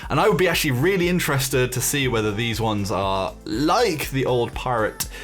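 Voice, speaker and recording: Man, mid-pitched voice, gaming host, casual news commentary, informal and tentative, music playing behind. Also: animated, normal speed, fairly bright tone. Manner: informal, tentative, thinking aloud.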